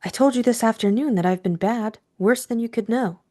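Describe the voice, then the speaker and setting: A woman playing a coy, anxious young femme fatale who feigns innocence, hushed and demure, in an audiobook drama. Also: on the high side, brisk, pitched above the performer's own voice, lightly acted. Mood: coy, flustered.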